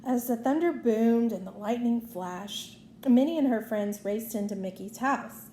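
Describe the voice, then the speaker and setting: Female storybook narrator for children, unhurried and high-pitched, recorded in a roomy space. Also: dark tone. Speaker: storybook reader. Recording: roomy echo, faint background noise.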